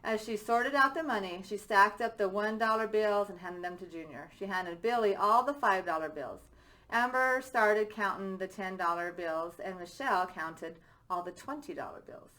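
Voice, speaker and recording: A woman reads a children's story aloud as a teacher would. Her voice sits at a middle pitch and has a bright, forward tone. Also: normal speed, rough recording.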